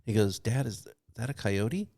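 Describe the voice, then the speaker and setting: A man's voice: lowish voice, lively intonation, normal speed, vocal fry, warm tone. A man co-hosting a podcast, chatting casually and explaining things in a matter-of-fact, dryly humorous way. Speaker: podcast co-host. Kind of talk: casual chat, explaining a hobby.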